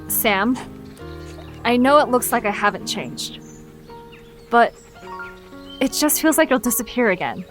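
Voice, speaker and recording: A woman's voice: on the high side, sing-song, unhurried, long pauses, fairly bright tone, faint music behind. A woman playing a friendly, tender-hearted and slightly nervous young woman in an audio drama, speaking sincerely. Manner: hesitant, sincere. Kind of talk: audio drama character acting.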